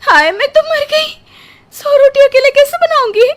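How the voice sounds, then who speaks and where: Woman acting a high-voiced melodramatic young bride. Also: expressive, normal speed, fairly bright tone. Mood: wailing, overwhelmed. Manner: exclaiming, melodramatic. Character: young carefree bride, dramatic and easily overwhelmed. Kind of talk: Hindi moral-story cartoon acting.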